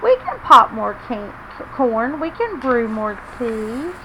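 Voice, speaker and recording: A woman voicing a small, helpful storybook mouse in a high, bright, coaxing tone. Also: sing-song, slow, a little hiss or hum, heavily acted, low-quality recording. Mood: reassuring.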